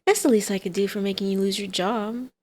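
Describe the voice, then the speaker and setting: A woman's voice: mid-range voice, brisk, darkish tone, natural voice. Woman acting a smug, teasing female villain. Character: brazen female supervillain, teasing, combative, scheming mother. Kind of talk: ASMR roleplay acting.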